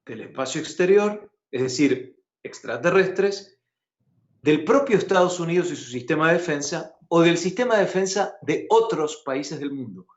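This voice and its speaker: A man's voice: fairly high pitch, normal speed, echoey room. Argentine man hosting a live news chat. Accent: Argentine Spanish. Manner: animated, emphatic, asks co-host questions. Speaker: news host. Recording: muffled broadcast audio.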